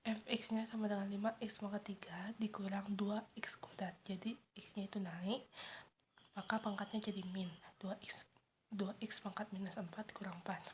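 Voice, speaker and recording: Soft-spoken teen girl explaining math. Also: mid-range voice, slight background noise. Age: teens. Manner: steady, methodical, working through steps aloud. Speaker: student explaining homework. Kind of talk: math walkthrough.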